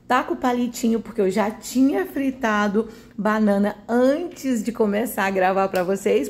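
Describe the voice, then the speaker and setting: A woman's voice: mid-range voice, darkish tone. Chatty Brazilian woman narrating a recipe. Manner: chatty, homely, often seeking agreement with tag questions. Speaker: home cooking video host. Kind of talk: casual recipe voice-over.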